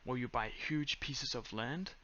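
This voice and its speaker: A young man with a Danish accent giving a step-by-step screen-recorded tutorial in a flat, monotone voice full of "uh"s and "um"s. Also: medium pitch, measured pace, dull audio. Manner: monotone, many fillers. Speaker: tutorial creator.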